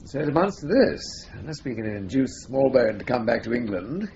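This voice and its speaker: An actor playing a brisk, impatient senior solicitor in a radio play. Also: male voice, mid-range voice, very animated, gravelly, a little mellow. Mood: stern. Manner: brisk, stern, businesslike. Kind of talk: radio drama acting. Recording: dull lo-fi radio recording, faint hiss.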